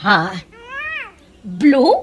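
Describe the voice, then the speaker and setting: A woman's voice: medium pitch, expressive, slight background noise. A woman voicing a scornful, gossipy middle-aged mother in a comedy radio drama, with an Ilocano Filipino accent. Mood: scornful, proud. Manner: sneering, drawn-out, exclamatory.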